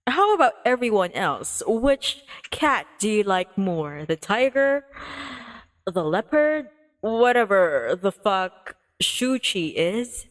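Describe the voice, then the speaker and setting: A woman livestreamer chatting playfully, with a giggly, gushing, expressive way of talking. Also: medium pitch, slow.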